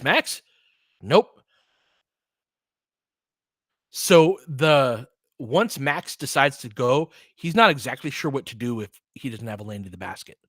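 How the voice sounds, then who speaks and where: A man's voice: mid-range voice, a bit bright, dry recording, studio quality. A man doing casual live basketball play-by-play and analysis, mixing short clipped phrases with conversational asides as a partisan fan of the team.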